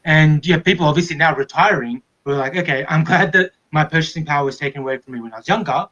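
A man speaks in a slightly high voice. The sound is dull and lo-fi. He explains things informally, as in a casual group discussion. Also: fast, sharp tone. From Australia.

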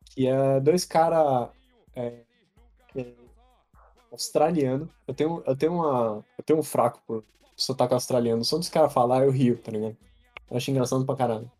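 Young brazilian guy podcasting, mellow dark voice, cusses a lot, bit of music behind. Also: medium pitch, normal speed. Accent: Brazilian Portuguese. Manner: loose, profane, animated storytelling. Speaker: podcast co-host. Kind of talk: casual chat.

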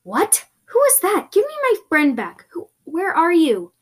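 Woman acting a feisty, high-pitched sarcastic girl. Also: expressive, normal speed, lightly acted. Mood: alarmed. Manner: exclamatory, indignant, sassy. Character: feisty, sarcastic girl lost in another world.

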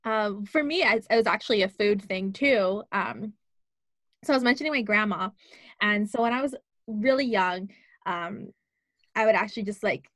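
A woman's voice: mid-range voice, fairly bright tone, dry recording, clean studio sound. A young woman from Los Angeles chatting casually, using lots of "like" and "um" fillers. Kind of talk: casual conversation.

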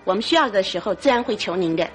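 A woman's voice: mid-range voice, lively intonation, fast, over loud music, lightly acted. An actress playing a rude, hostile female neighbour, curt and brusque, in a Mandarin radio drama.

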